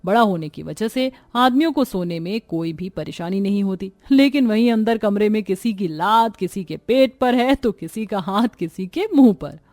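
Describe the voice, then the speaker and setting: A woman narrator reading a scripted cartoon family story in an expressive storytelling manner. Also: mid-range voice, normal speed, hardly any pauses.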